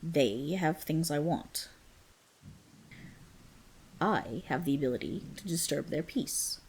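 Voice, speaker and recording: An imperious, menacing dragon queen, acted by a woman in a fantasy ASMR roleplay. Her voice is low and gravelly with creak, and it swings widely in pitch as she commands in formal, regal phrases. She sounds proud and possessive. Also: expressive, slow, darkish tone, slight background noise, heavily acted. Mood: cool, haughty. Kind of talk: dramatic ASMR roleplay acting.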